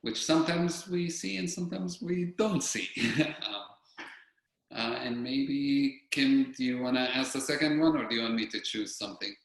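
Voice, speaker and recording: A man's voice: medium pitch, moderate pace, heavy reverb, low-quality recording. A man speaking earnestly on a panel over a video call. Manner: earnest, animated. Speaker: panel guest. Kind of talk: panel discussion.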